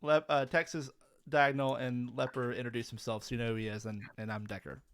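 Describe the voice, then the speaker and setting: A man's voice: mid-range voice, level tone, fast, a bit bright, clear, resonant tone. A man co-hosting a casual esports podcast, teasing the others and laughing often. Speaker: co-host.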